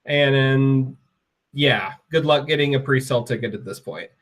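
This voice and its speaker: A man co-hosting a podcast and running through the news. He is upbeat and conversational and quick to get excited. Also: medium pitch. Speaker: podcast co-host reading the news. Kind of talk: casual podcast news rundown.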